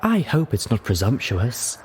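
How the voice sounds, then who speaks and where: A man's voice: medium pitch, huge pitch swings, measured pace, slight background noise. A man voicing a refined female unicorn pony, polite and careful, in a storybook reading. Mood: apprehensive, polite.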